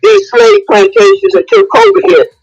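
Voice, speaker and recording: A woman's voice: very high voice, steady tone, moderate pace, gravelly, a little mellow, highs cut off. A woman calling in to a live discussion, speaking emphatically and repeating phrases for force. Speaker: caller in a live audio discussion. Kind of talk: impassioned spoken opinion.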